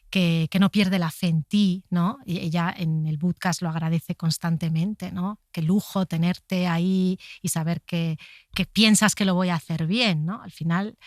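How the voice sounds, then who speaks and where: A woman's voice: on the low side, dry recording, clean studio sound. A woman writer talking as a guest in a conversational interview, reflective and anecdotal, often checking for agreement.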